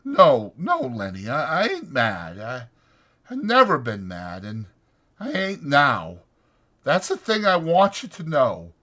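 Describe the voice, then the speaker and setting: A man voicing a weary, protective ranch hand who talks in a halting, soothing, anguished way in a dramatized audiobook. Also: mid-range voice, wildly swooping pitch, pushed and bright, pitched above the performer's own voice, exaggerated voice. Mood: tender, halting.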